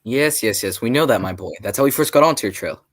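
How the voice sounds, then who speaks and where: A man playing a hearty, cautious, avuncular English gentleman in a 1940s-style radio drama. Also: medium pitch, expressive, fast talker, close to an everyday voice.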